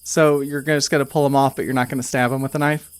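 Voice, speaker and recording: A man's voice: medium pitch, flat delivery, clear, resonant tone, no room sound. Man narrating a tabletop game, dry close sound. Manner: halting, conversational storytelling. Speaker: game master.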